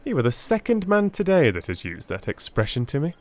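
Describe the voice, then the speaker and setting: Man acting a young Victorian gentleman, gossipy, hesitant, emphatic, very lively pitch, storybook dialogue. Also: a little fast. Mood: chatty. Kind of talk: dramatised Victorian audiobook dialogue.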